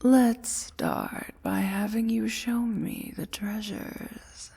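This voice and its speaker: A woman playing a domineering, dangerous dragon queen in a soft-spoken fantasy ASMR roleplay, breathy and often whispering, with formal, imperious diction. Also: mid-range voice, fairly even intonation, extremely slow, full character voice. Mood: cold, demanding.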